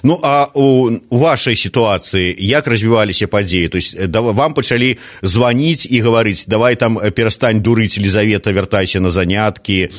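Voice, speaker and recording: A man's voice: fairly low pitch, moderate pace, few pauses. A man with a Belarusian accent, hosting and moderating a discussion, asking rambling, hesitant questions. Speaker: host.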